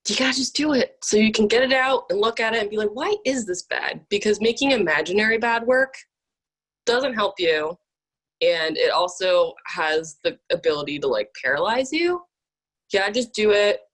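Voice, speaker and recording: A woman's voice: medium pitch, animated, moderate pace, poor audio. A woman artist chatting casually in an informal, emphatic way, often saying "like". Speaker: visual artist. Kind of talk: casual chat about art and work.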